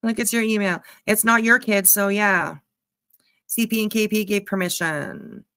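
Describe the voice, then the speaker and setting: A woman's voice: medium pitch, steady tone, moderate pace, bright, forceful tone, clear, resonant tone, dry recording, clean studio sound. A woman giving casual, snarky commentary on a clip, mocking and swearing freely. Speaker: reaction channel commentator.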